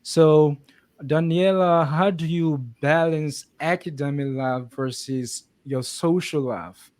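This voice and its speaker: A man's voice: fairly high pitch, slow pace, a little mellow, no room sound. A man from Africa hosting a casual interview, affirming and repeating words for emphasis. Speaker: interview host.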